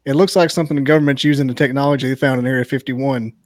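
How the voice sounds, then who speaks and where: A man's voice: medium pitch, fairly even intonation, racing, no echo. A man chatting casually in an offhand, wry, conspiratorial way. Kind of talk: casual chat.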